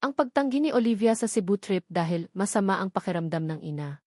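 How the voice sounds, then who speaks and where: A woman narrates a suspenseful romance story in a dramatic, scripted style. Her voice sits at a middle pitch and is recorded close and dry, with no room sound. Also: a little fast, a little mellow, no echo.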